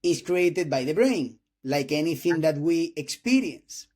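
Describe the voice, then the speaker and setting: A man in his twenties from Spain, speaking English with a Spanish accent as a non-native speaker, hosting an interview with halting restarts and frequent throat clearing. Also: on the high side, animated, a little mellow. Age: 20s. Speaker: host interviewing a doctor. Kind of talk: casual interview talk.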